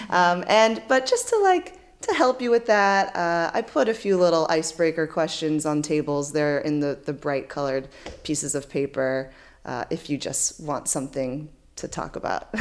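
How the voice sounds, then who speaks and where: A woman closes out a community gathering as its host, speaking in a slightly low voice. She is effusive and full of thanks, with frequent fillers as she talks to a live audience indoors. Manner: effusive, grateful, many fillers. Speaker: event host. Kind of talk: closing remarks at a community event. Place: indoor event space with audience.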